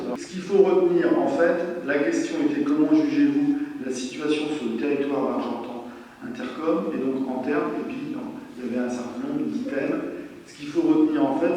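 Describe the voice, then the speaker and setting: A man's voice: medium pitch, dark tone. A man presenting at a local meeting in an explanatory style, hesitant and often rephrasing himself as he goes. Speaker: presenter of survey results at a council meeting. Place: large reverberant meeting hall. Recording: very echoey hall, distant mic, noisy.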